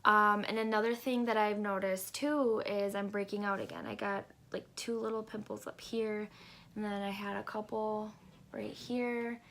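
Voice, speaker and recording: A woman's voice: medium pitch, fairly bright tone, a little hiss or hum. A woman vlogger giving a casual update, congested and rambling with lots of hesitant ums. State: congested, stuffy nose. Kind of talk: casual pregnancy update vlog.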